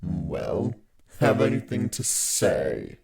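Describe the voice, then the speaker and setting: Menacing giant male spider villain, creaky low voice, drawn-out gloating, storybook horror. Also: wildly swooping pitch, a little mellow, exaggerated voice. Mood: gloating menace. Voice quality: gravelly, creaky. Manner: slow, drawn-out, theatrical menace.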